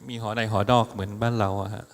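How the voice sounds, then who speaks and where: A man chatting casually with an audience in a university lecture hall, explaining things and fielding questions with relaxed fillers and restarts. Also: fairly low pitch, racing. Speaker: lecture moderator and interpreter. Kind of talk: casual Thai and English Q&A talk.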